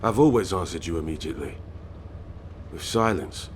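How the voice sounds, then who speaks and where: An actor playing a curt, aloof soldier in a video game, terse and deadpan. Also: male voice, low-pitched, normal speed, slight background noise. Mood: curt, cold. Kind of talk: game dialogue.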